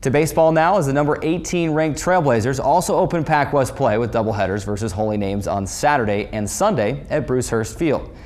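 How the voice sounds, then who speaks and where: A man's voice: medium pitch, fairly quick, few pauses, a little mellow. Male sportscaster reading a scripted recap. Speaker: sports show host and play-by-play voice.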